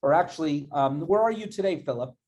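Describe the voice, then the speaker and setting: A man's voice: a little high, animated, brisk, a little mellow, lo-fi sound. A man hosting an online interview series, upbeat and effusive. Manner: enthusiastic, effusive. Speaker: interview host. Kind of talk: upbeat video-call interview.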